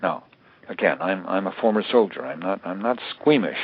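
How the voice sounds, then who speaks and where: An older American man giving considered, analytical interview answers in a halting, deliberate way, repeating words for emphasis. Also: low voice, breathy edge, no echo. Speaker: interview guest, author and security analyst. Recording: narrow tinny phone line.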